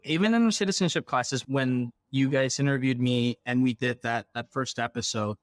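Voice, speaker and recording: A man from Ecuador speaks as an interview guest in a very dry-sounding space. His voice sits at a middle pitch, and he explains things conversationally, often checking in as he goes. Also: moderate pace, no room sound. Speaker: interview guest, refugee program worker.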